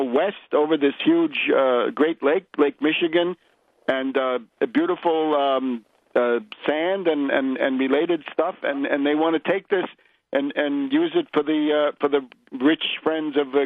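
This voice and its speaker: Male talk radio host, political interviews, somewhat high voice, hesitant phrasing, muffled lo-fi broadcast. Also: normal speed, no room sound. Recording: lo-fi, band-limited radio audio.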